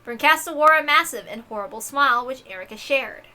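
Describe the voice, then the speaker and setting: A woman hosting an expressive read-aloud of a visual novel, with casual asides of her own. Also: sits high, fairly quick. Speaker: reader and host.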